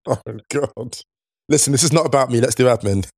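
Croaky man in his forties. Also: medium pitch, brisk, a little mellow, no echo, studio quality. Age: 40s. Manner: laughing, self-deprecating. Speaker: podcast co-host. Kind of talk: casual chat.

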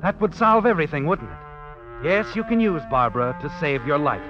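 A sly, taunting mystery narrator from old radio. His fairly high voice moves with animated, insinuating inflection over prominent music. The recording sounds dull and dated. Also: a little fast. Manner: insinuating, sardonic. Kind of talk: 1940s radio drama second-person narration.

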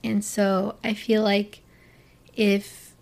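A woman's voice: medium pitch, little pitch movement, extremely slow, gravelly, a little mellow. A young woman from Florida talking casually to camera, rambling with lots of fillers and restarts as she opens up. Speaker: vlogger. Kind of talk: casual personal talk to camera.

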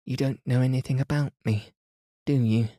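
A reserved young Englishwoman speaks in measured, composed sentences, her manner grave and quietly authoritative. The tone is dark and mellow, and the recording is very dry and close, as a man reading the story puts her on. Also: medium pitch, steady tone, no echo, pitched above the performer's own voice, lightly acted. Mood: confiding.

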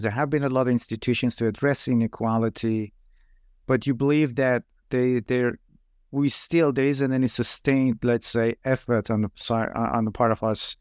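A man from Iran serves as the interviewer, putting long questions haltingly and repeating words as he searches for the right phrasing. His voice sits in a middle register. The recording is dry and close. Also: mid-range voice, darkish tone, smooth, no room sound.

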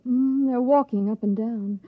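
An actress playing a fretful, pleading professor's wife in an old-time radio drama. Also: female voice, fairly high pitch, measured pace. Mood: hushed, anxious. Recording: muffled vintage radio recording.

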